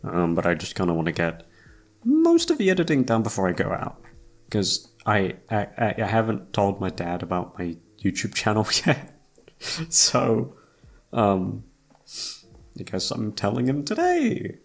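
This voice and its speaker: A man's voice: lowish voice, wildly swooping pitch, measured pace, gravelly. A young man chatting casually to his listeners in a hesitant, self-deprecating way, swearing freely and trailing off. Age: young adult. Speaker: YouTube voice actor and channel host. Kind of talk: casual rambling chat to listeners. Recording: music playing behind the voice. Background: background music.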